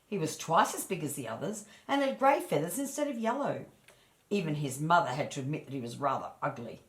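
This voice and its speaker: A woman storyteller reading a children's fairy tale aloud in a measured, expressive way. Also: sits low. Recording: echoey room.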